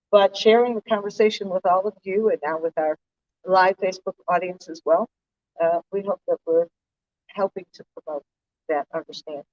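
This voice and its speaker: American woman, co-host on stage, conversational, mid pitch, distant mic, dull sound. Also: a little mellow. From United States. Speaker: co-host, organization director. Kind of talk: live panel talk to an audience. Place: stage before an audience.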